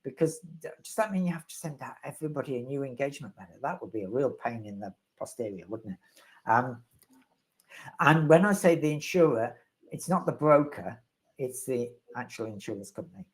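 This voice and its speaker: A man's voice: mid-range voice, animated, a little fast, low-quality recording. A man answering questions as the resident expert in a live webinar, rambling and wry, with self-deprecating humor.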